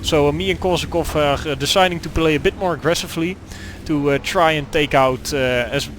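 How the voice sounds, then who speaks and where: A young man with a Dutch accent, Dutch his first language, commenting on a gameplay replay in a halting way with many fillers and restarts. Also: fairly high pitch, slight background noise. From Netherlands. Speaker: gaming commentator.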